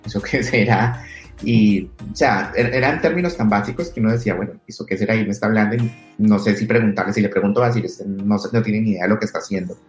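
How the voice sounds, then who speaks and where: A Colombian man in his thirties speaks in a low, easygoing voice, telling his story anecdotally as an interview guest. The room is echoey, and faint music plays underneath. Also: fast. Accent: Colombian Spanish. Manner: relaxed, anecdotal, frequent restarts. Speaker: entrepreneur guest.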